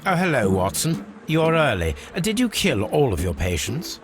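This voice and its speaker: An actor playing an eccentric, cerebral Victorian English gentleman detective in a video game, speaking formally with precise, dry wit. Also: medium pitch, huge pitch swings, normal speed, noisy background. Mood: brisk, enthused. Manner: formal, precise, dryly witty.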